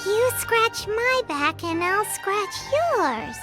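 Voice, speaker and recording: A woman's voice: extremely high pitch, expressive, drawn-out words, heavily acted. A woman voicing a tiny chirpy floating fairy girl who talks about herself in the third person, in a game cutscene. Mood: curious. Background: game music.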